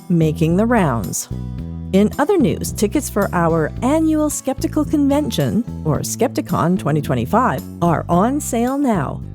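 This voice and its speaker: A woman hosting a podcast in an animated, emphatic presenter style. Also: medium pitch. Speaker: podcast host. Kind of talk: scripted podcast narration and interviewing.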